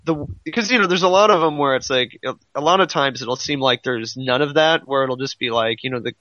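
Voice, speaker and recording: A man talks casually on a fan podcast in a bright, punchy voice, often circling back over his own words. The sound is very dry, though slightly dull and lo-fi. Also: mid-range voice, fairly quick, dry recording. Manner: rambling, repeats himself, heavy use of "like". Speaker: podcast panelist.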